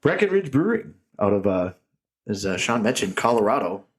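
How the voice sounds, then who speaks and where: Man, low husky voice, rambling casual podcast chat, laughs a lot, echoey tinny small room. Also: sing-song, moderate pace. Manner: rambling, laughs often, jokey tangents. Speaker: podcast co-host.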